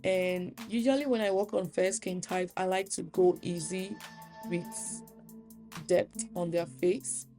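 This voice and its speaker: A woman with a dark, mellow voice, hoarse from a cold, talks through her makeup work in an easygoing, chatty way. Soft music plays faintly behind her voice-over. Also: lowish voice, unhurried. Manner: relaxed, chatty, with fillers. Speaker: makeup artist. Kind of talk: casual makeup tutorial voice-over.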